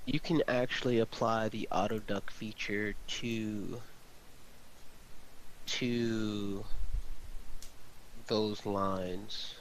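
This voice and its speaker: A man chatting casually while editing audio, explaining things in a halting, step-by-step way. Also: lowish voice, steady tone, poor audio. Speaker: audio drama co-producer helping edit. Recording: hissy home microphone.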